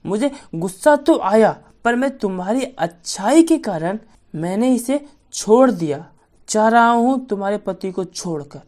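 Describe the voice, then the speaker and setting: A woman's voice: medium pitch, animated, unhurried, a little mellow, close to an everyday voice. A woman voicing a menacing, boastful ancient male demon who possesses a priest in a children's story. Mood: proud, relenting. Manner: boastful, threatening declarations.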